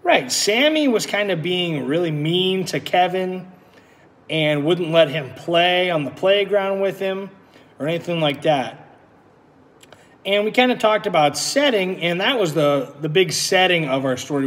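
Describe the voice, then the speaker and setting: A man teaches and reads aloud for children. His voice sits a little high and moves with lively swings. It carries a long echo from the room. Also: a little slow. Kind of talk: animated read-aloud and lesson for children. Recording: echoey room with long reverb.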